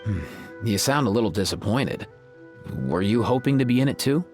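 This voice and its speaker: A man playing a calm, observant young man in a video game cutscene. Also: on the low side, normal speed, soft background music, close to an everyday voice. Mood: inquiring.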